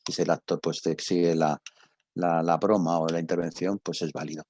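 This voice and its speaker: An older man with an accent from Spain, giving an informal talk and answering questions, halting and emphatic, often repeating himself, with a husky voice. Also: low-pitched, little pitch movement, a little mellow, slight background noise. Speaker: radio drama professor and guest speaker.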